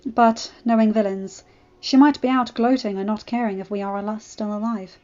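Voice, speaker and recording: A woman voicing a bookish, earnest female pony who speaks in a measured way. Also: mid-range voice, steady tone, darkish tone, highs cut off, close to an everyday voice.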